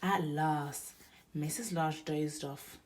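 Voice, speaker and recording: A woman's voice: sits low, steady tone, slow pace, darkish tone. A woman storyteller reading a picture book aloud expressively for young children.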